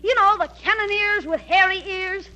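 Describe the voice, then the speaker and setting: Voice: very high-pitched, normal speed, pushed and bright, exaggerated voice. An actor playing a brash, eager, slangy schoolboy in a 1940s radio comedy. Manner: slangy, boastful, emphatic. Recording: noisy old radio broadcast with hum.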